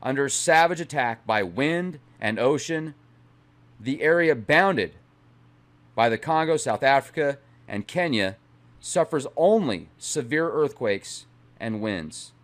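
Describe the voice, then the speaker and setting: A man's voice: medium pitch, expressive, slow pace. A middle-aged man hosting a channel, speaking in a dramatic, emphatic way as he reads aloud and comments. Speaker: channel host. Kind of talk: dramatic reading aloud with casual asides.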